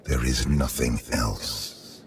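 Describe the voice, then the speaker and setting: An actor playing an imperious immortal emperor in a video game, cold and menacing, with a grave, deliberate delivery. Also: male voice, steady tone, slight background noise. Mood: commanding. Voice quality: deep gravelly voice. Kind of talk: dramatic video game acting. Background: orchestral game music.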